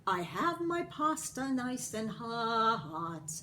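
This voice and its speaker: A woman's voice: fairly high pitch, big pitch swings, extremely slow, bright, forceful tone, slight background noise, full character voice. A woman voicing a foolish, hungry young man in a storybook, chanting in sing-song. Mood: gleeful chanting.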